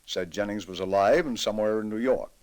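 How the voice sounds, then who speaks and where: An actor playing a grave, reflective middle-aged judge in an old-time radio drama, speaking in a measured, deliberate, dramatic way. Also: male voice, on the low side, a little mellow, lightly acted. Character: grave, reflective male judge with a troubled conscience.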